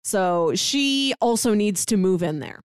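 Woman, casual podcast co-host, lively pitch swings, animated storytelling, dry recording. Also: a little slow, smooth, dry recording. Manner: animated storytelling that acts out quotes. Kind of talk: casual chat recapping a manga plot.

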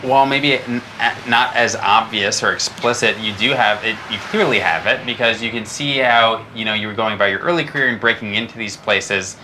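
A man's voice: on the low side, a little fast, few pauses, bright, forceful tone, slight background noise, rough recording. A man hosting a podcast, asking long, winding questions full of hedges and restarts. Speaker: podcast host. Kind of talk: interview questions.

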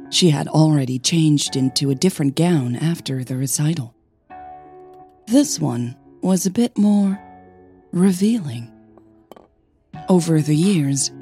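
Low, slow, mellow woman narrator. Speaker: podcast host and narrator. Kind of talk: scripted audio fiction narration.

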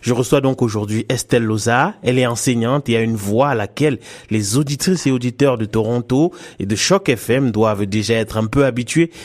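A man's voice: medium pitch, a little fast, hardly any pauses. A man hosting a French-language radio interview in a studio, speaking with frequent fillers and restarts. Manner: hesitant, many fillers and restarts. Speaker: radio host.

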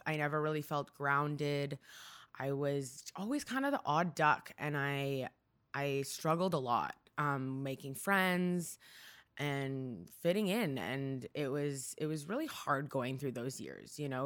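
A woman with a Canadian accent speaks in a low register. She talks as a guest in an interview, recounting personal experiences candidly and reflectively, often checking in with "you know". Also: slow pace, fairly bright tone. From Ontario, Canada.

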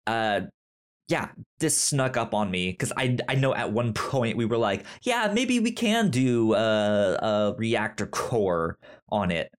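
A man co-hosting a casual pop-culture podcast, talking in a halting way with repeated words and trailing sentences. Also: lowish voice, animated, clear, resonant tone. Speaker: podcast co-host.

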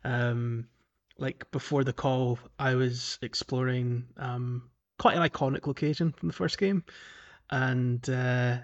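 A man chatting casually about a video game as a podcast co-host, in a hesitant, halting way with restarts. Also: medium pitch, fairly even intonation, muffled recording.

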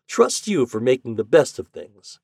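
Old country grandma, as a male reader does her: folksy, fond, encouraging, raised pitch, swooping intonation. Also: wildly swooping pitch, moderate pace, vocal fry, darkish tone, no room sound, clean studio sound, heavily acted. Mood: warm, encouraging. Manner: folksy, homely rural speech.

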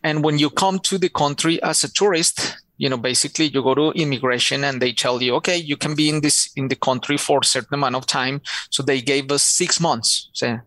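A man's voice: mid-range voice, fairly even intonation, fairly quick, few pauses, sharp tone, dry recording, low-quality recording. Colombian man telling his story. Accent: Colombian Spanish. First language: Spanish. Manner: earnest, repeats words as he searches for them. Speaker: podcast guest. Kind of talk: relaxed storytelling interview.

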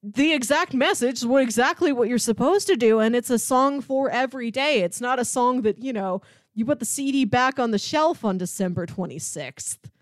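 A woman's voice: on the high side, moderate pace, dry recording. A woman co-hosting a podcast, talking about film casually and enthusiastically, with chuckles as she goes.

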